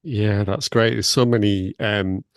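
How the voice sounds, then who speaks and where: A man hosts a podcast conversation. He talks casually and haltingly, with a self-deprecating touch, and the sound is close and dry. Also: lowish voice, steady tone, smooth, no echo. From Blackburn, northern England. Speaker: podcast host, academic consultant.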